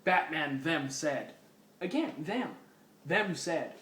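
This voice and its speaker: A man's voice: a little high, expressive, slow pace, fairly bright tone, a little hiss or hum. Roomy, distant recording of a man reading theatrically. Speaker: fan fiction reader. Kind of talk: comedic dramatic reading of a story.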